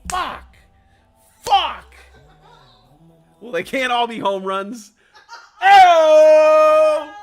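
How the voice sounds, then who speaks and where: A young man, hosting a live stream in a loose, profane, rambling chat full of jokes. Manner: profane, rambling, jokey, swings from low mumbles to loud shouts. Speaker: livestream host.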